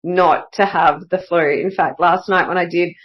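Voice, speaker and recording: A woman hosting her own podcast, sounding a little croaky from a cold and talking in an upbeat way with frequent "uh" fillers. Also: on the low side, fairly even intonation, normal speed, dull audio. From Australia. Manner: upbeat and enthusiastic, with many "uh" fillers. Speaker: podcast host. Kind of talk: solo podcast monologue.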